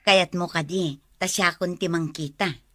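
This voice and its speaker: A woman's voice: on the low side, fairly even intonation, a little slow, fairly bright tone, dry recording, clean studio sound. A loving middle-aged mother. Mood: tender. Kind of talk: radio drama acting.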